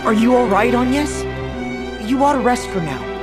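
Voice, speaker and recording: An actor playing a gentle, concerned young man in a video game, speaking earnestly and carefully. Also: lively intonation, moderate pace, loud music behind the voice. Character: gentle, concerned young male companion, sincere and probing. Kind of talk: English video game voice acting. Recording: clear rumbling noise behind voice.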